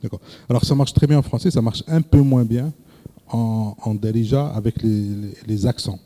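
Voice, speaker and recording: A male presenter lecturing a live audience, hesitant, often repeating words and filling pauses, asking the room questions. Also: mid-range voice, fairly even intonation, warm tone. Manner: addresses the audience directly with questions. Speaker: workshop presenter.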